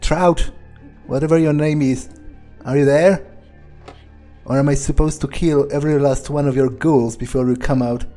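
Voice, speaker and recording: A man's voice: fairly high pitch, fairly even intonation, normal speed, mellow, soft background music, close to an everyday voice. A man playing a crude, cocky, irritable male vampire in a game, muttering profane asides to himself. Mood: confrontational. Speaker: game player in character. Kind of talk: improvised in-character game playthrough.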